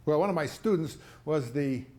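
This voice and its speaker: A man's voice: on the high side, lively intonation, normal speed, a little mellow, reverberant. A man giving a rambling talk on foreign policy, with chuckling asides, answering audience questions. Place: lecture hall.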